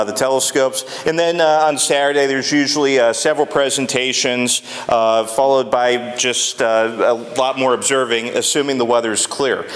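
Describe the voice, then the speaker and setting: Older man announcing in a big hall. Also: mid-range voice. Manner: loud voice, a bit unsure with the microphone. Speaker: club member making an announcement. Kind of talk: casual announcement at a club meeting. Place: large wood-floored meeting hall. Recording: long hall reverb.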